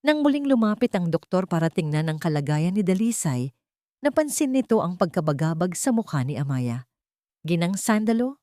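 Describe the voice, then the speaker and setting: A woman's voice: fairly low pitch, big pitch swings, brisk, mellow, dry recording, clean studio sound. A woman reading a scripted story as a dramatic narrator.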